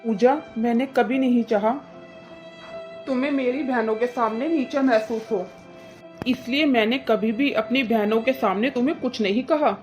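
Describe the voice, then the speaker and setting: Woman voicing an indignant brother, high mellow voice. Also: moderate pace, faint music behind, natural voice. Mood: earnest, hurt. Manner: reproachful, insistent, questioning. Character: indignant protective brother scolding his wife. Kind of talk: cartoon moral story dialogue.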